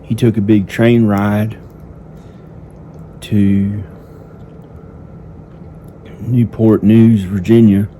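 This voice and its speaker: A man improvising a first-person story in a halting, simple, repetitive manner, with hesitant pauses. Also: lowish voice, flat delivery, mellow. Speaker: amateur storyteller narrating own improvised story. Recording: noticeable background noise. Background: ambient sound effects.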